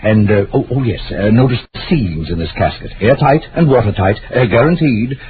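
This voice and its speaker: A man's voice: on the low side, animated, normal speed, lightly acted. An actor playing a fussy, unctuous middle-aged mortician in an old-time radio drama, hesitant and solicitous like a salesman. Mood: unctuous pitch. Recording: tinny, noisy old radio recording.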